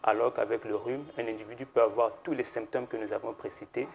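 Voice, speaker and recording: A man, a pharmacist guest on a TV studio set, explaining slowly and hesitantly with many fillers and restarts. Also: mid-range voice, steady tone, gravelly, a little mellow. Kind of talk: explanatory TV interview answers.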